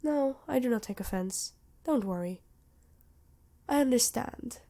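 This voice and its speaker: A woman voicing a worried, protective vampire queen in an ASMR roleplay, earnest and measured, with dramatic pauses. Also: fairly high pitch, lively intonation, dark tone. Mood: tender, wistful. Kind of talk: ASMR roleplay acting addressed to listener.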